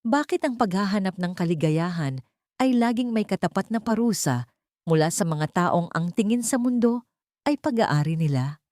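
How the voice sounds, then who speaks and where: A woman narrates a scripted drama in a slightly low voice. Her intonation is highly animated. The recording is dry, with no room sound. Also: dry recording.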